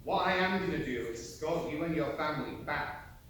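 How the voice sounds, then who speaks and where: An actor playing an authoritative officer on an emigrant ship in a stage play, formal and commanding. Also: male voice, a little high, a little fast, fairly bright tone, natural voice. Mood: formal, firm. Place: theatre stage, picked up from afar. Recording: echoey hall, distant mic, faint noise.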